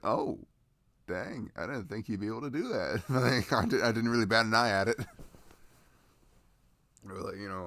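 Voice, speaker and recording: A man's voice: low voice, fairly bright tone, no echo. A man playing a sweet, laid-back boyfriend in an intimate ASMR roleplay, rambling casually and playfully after movie night.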